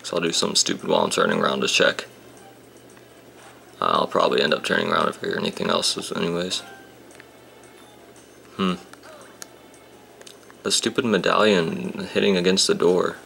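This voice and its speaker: A young man commentating a handheld game, casual and grumbling, his voice a bit rough today. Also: low-pitched, steady tone, moderate pace, pauses often, pushed and bright, slight background noise. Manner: grumbling, sarcastic about the game. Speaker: gameplay commentator. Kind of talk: casual let's-play commentary. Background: game music.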